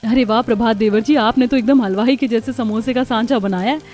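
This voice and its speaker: A woman's voice: fairly high pitch, a little fast, darkish tone, natural voice. A woman voicing a hardworking, hopeful and respectful young daughter-in-law in a Hindi village cartoon. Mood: hopeful, practical. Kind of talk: Hindi moral-story cartoon dialogue. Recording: music behind the voice.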